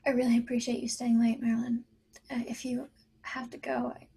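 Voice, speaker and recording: A woman playing an anxious, protective young mother with a breathy, creaky voice in a screenplay table read. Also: fairly high pitch, completely flat delivery, brisk, warm tone, full character voice. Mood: grateful.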